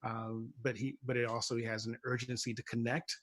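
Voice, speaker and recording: A man on a panel explaining his work casually, in a halting, measured way with many pauses. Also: mid-range voice, level tone, fairly bright tone, no room sound. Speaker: playwright on a panel.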